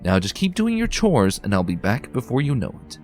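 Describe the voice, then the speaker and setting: A bookish young unicorn mare, earnest and a little anxious, done by a man in a raised voice. Her lines leap up high and swing widely as she insists. Soft music plays faintly under this fan storybook reading. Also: huge pitch swings, a little fast. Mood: reassuring. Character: bookish determined young female unicorn pony.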